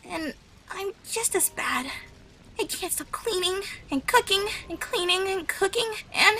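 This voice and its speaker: A woman voicing a meek, emotional young female pony with a shrill voice in a dramatic fan fiction audio story. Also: pushed and bright, slight background noise, heavily acted, low-quality recording.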